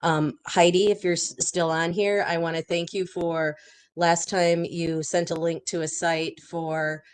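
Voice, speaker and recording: A woman with a raspy voice from a cold, speaking haltingly with many ums in a video-call committee meeting. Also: lowish voice, level tone. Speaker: advocacy volunteer and support planner.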